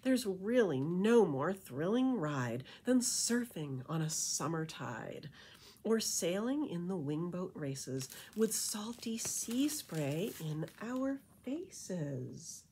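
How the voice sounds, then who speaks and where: Expressive, unhurried woman reading a picture book. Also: fairly low pitch, slightly rough. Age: middle-aged. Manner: deliberate, animated rhythmic storytelling delivery. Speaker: librarian reading aloud to children. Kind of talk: expressive picture-book read-aloud with casual asides. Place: indoor room with painted wall.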